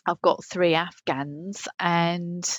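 A woman's voice: lowish voice, fairly even intonation, takes it slowly, no room sound. Woman rambling in dry, dull sound. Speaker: podcast co-host. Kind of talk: casual comic podcast chat.

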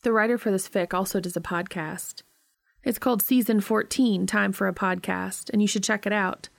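A woman's voice: mid-range voice, steady tone, normal speed, creaky, no room sound, studio quality. A woman hosting a podcast, reading a story aloud in scripted audiobook-style narration. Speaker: podcast host reading fan fiction aloud.

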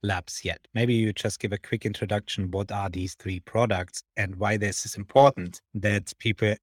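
A man's voice: on the low side, fairly even intonation, normal speed, no room sound. Enthusiastic male podcast host, close dry sound. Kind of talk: podcast intro and interview hosting.

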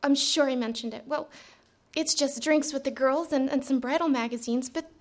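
A woman's voice: sits high, normal speed, natural voice. A woman playing a perky, put-upon young secretary in a live radio drama, chatty and girlish. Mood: exasperated, pleading. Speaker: actor.

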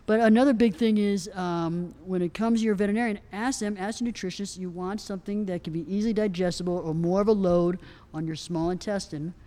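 A soft-spoken woman answering questions on a live panel, rambling with lots of fillers and restarts. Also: medium pitch, fairly even intonation, brisk. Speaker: equine nutrition expert answering questions. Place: outdoor event festival.

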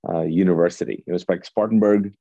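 A middle-aged man with a very low, mellow voice, from Kuwait, whose first language is Urdu. He tells his story animatedly and often restarts sentences. The sound is dry and slightly dull and lo-fi. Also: steady tone, fast, no echo. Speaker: pastor, guest. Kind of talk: casual storytelling interview.

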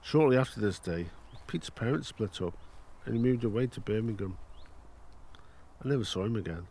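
A man's voice: fairly low pitch, normal speed, darkish tone, slight background noise, lightly acted. A man playing a wry grown-up narrator looking back on his boyhood in an amateur audio drama. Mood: wistful.

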